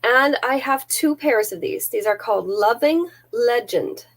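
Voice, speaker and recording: Woman, slightly high voice, stuffy nose, chatty live seller, detailed descriptions, close camera mic. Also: lively intonation, measured pace. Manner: chatty, careful item-by-item descriptions.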